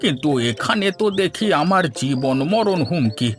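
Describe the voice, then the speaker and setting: A man's voice: fairly high pitch, expressive, moderate pace, a bit bright, faint music behind. A man playing a put-upon older village husband in a cartoon, tight with money and complaining in exclamations. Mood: self-pitying. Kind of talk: cartoon dialogue acting.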